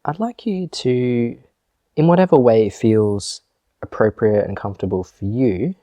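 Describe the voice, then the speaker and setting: A man leading a guided meditation as a teacher, speaking gently and in a measured way with long pauses. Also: medium pitch, no room sound.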